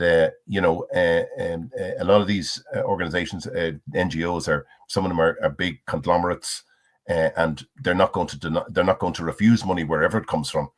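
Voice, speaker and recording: A man on a discussion panel, speaking hesitantly with many "uh" and "um" fillers. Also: low-pitched. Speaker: panel guest.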